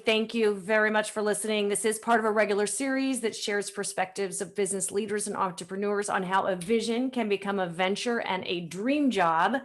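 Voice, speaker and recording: Woman hosting a conversational podcast interview. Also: mid-range voice. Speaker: podcast host.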